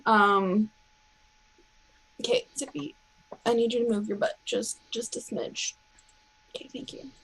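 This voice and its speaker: A woman's voice: on the high side, unhurried, long pauses, fairly bright tone, low-quality recording. Playful woman at a game table, faint noise. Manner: playful, describes her character in third person. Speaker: player in a tabletop role-playing game. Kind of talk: casual table chat.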